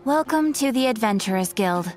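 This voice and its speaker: Actress as female guild receptionist, polite, composed, formal, somewhat high pitch, game dialogue, music behind. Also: expressive, normal speed, a little mellow, close to an everyday voice. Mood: calm, helpful. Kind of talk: video game voice acting.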